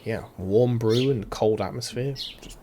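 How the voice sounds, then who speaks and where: Man, visual novel reader, playful jokey asides, mid pitch, loud music behind. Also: measured pace, a little hiss or hum. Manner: playful, jokey reading with sound-effect asides. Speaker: streamer reading a visual novel. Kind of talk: reading translated lines aloud with asides. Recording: voice mixed with loud game music.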